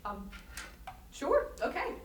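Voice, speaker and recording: A woman acting a polite, puzzled interviewer, halting and apologetic in a staged interview. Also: high-pitched, wildly swooping pitch, full character voice. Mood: puzzled, hesitant. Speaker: actor in a staged reading. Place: staged reading in a reverberant room. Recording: echoey room, distant microphone, noisy.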